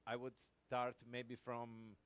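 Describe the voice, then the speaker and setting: A man at a middle pitch moderates a panel, handing out turns politely and asking for suggestions. His delivery is plain and conversational. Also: completely flat delivery, slow, clean tone. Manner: polite, inviting, with light laughs. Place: conference hall panel.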